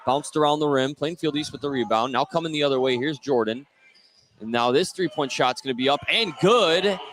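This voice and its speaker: A man's voice: a little high, brisk, a bit bright, slight background noise. A man doing live play-by-play radio commentary of a basketball game. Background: indoor basketball game, bouncing ball.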